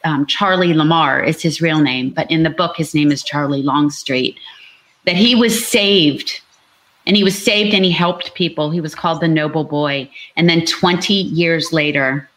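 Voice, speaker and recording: A woman's voice: deep voice, moderate pace, fairly bright tone, rough recording. A woman author talking casually about her novel at a book launch, chatty and explanatory.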